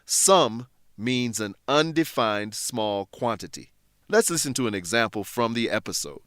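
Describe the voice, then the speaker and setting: Expressive male English-lesson narrator. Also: medium pitch, a little slow, dry recording, clean studio sound. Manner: explanatory, clearly phrased for learners. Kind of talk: scripted educational narration.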